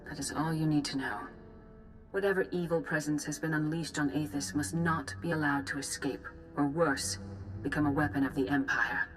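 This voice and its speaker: A woman's voice: low voice, steady tone, moderate pace, faint music behind, natural voice. A woman playing a grave Jedi grand master in a video game, formal, composed and authoritative. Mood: grave authority.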